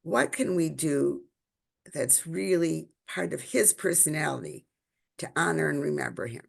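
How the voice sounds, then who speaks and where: A woman's voice: deep voice, unhurried, gravelly, breathy edge. A woman from Illinois, hosting an interview and asking probing conversational questions. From Illinois, United States. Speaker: podcast host.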